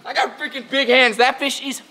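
Loud enthusiastic young man co-host. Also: very high-pitched, steady tone, moderate pace, heavy background noise. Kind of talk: excited vlog banter.

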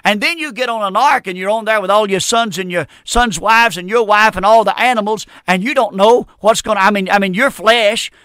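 A man's voice: high-pitched, a little fast, few pauses, pushed and bright, clean tone, no room sound, studio quality. An older man with a Southern US accent preaching a folksy, exhorting sermon, repeating his phrases for emphasis. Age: middle-aged or older. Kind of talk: impassioned folksy radio sermon.

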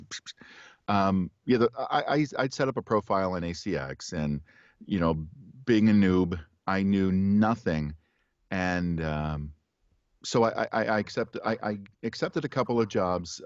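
Deep-voiced middle-aged man on a call. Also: no echo. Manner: relaxed, chuckling, halting restarts. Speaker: audiobook narrator, guest. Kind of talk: casual interview chat. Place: home studio with poster behind. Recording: dry, slightly dull video call audio.

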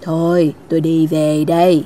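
An actress playing a chatty, cautious older woman in a cartoon, speaking in a folksy, drawn-out way. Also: sits low, little pitch movement, mellow, slight background noise. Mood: evasive. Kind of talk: cartoon ghost story voice acting.

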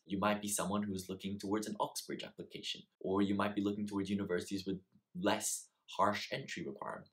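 A man's voice: sits low, a bit bright. A young man vlogging study advice straight to camera in a small home study, earnest and explanatory, stressing points by repeating words. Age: young adult. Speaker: student giving study advice. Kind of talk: direct-to-camera advice vlog.

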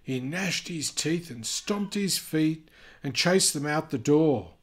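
A man reading a children's picture book aloud, gently and expressively, with chatty asides. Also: a little high, expressive, slow.

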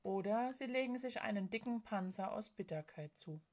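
A woman plays a frail storybook spirit of sorrow, female and bodiless. She speaks in a hushed, plaintive way, timid and tired, as one who feels misunderstood and shunned. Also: medium pitch, expressive, moderate pace, close to an everyday voice. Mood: sorrowful, earnest. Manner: hushed, hesitant, sighing, plaintive.

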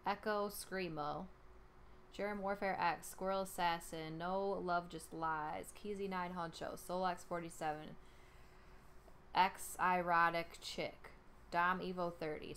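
A woman's voice: lowish voice, fairly bright tone, slight background noise. A young woman with a New York accent, chatting casually on a live stream and reading out names in an informal way. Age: young adult. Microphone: headset.

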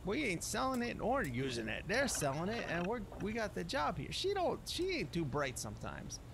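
Animated man casually narrating a video game. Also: high voice, normal speed, vocal fry, background noise. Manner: folksy slangy speech, muttering to himself. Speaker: gameplay streamer. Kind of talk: casual game commentary in a loose roleplay.

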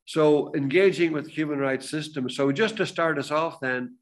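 A man's voice: a little high, moderate pace. An older man giving a methodical webinar presentation over a video call. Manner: steady, methodical explaining.

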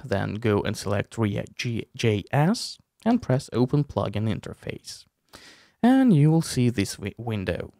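Slow, animated young man, creaky voice. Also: mid-range voice, no room sound, studio quality. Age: young adult. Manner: informal, step-by-step explaining with playful asides. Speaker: tutorial host, streamer. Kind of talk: casual software tutorial. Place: small room at a desk, indoors. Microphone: close desk microphone with headphones.

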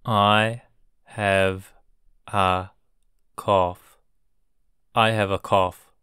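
Slow, flat male teacher for repeat-after-me drills, each word said three times. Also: on the low side, clean tone, no echo, studio quality. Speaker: English teacher. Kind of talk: slow drilled vocabulary reading.

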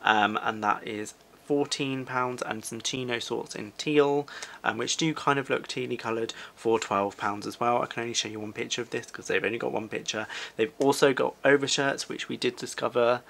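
Male vlogger, twenties, British. Bright, forceful voice with creaky edges, chatty and rambling. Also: mid-range voice, a little hiss or hum. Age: 20s. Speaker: fashion vlogger. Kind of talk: casual shopping haul commentary. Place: small furnished living room, indoors.

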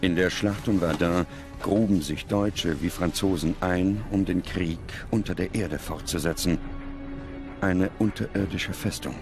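A man reading scripted documentary narration in a measured, dramatic manner. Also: deep voice, creaky, music in the background.

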